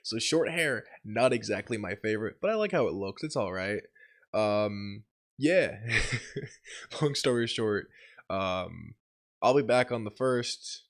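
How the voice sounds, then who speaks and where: A man's voice: lively intonation, slow. Sick young man, swearing, rambling vlog. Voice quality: deeper voice than usual from being sick. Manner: swears and laughs often, rambling and exasperated. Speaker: channel host. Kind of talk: casual vlog update.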